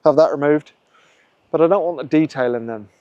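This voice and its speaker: A man hosting his own photography channel, thinking aloud in a relaxed, musing way as he works a scene outdoors on a windy coast. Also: fairly high pitch, a little fast, no echo, dull audio. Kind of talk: casual vlog narration on location.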